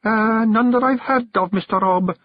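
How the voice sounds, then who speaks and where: A man's voice: high voice, moderate pace, no echo, lo-fi sound, voice pushed up. A man voicing a young, nervous male pictsie seer with a Scottish accent, earnest and anxious.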